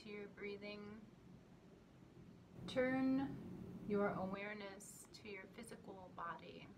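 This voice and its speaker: A woman leading a guided meditation in a soothing, gentle manner, leaving long pauses between short phrases. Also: mid-range voice. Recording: clearly noisy recording with ambient sound.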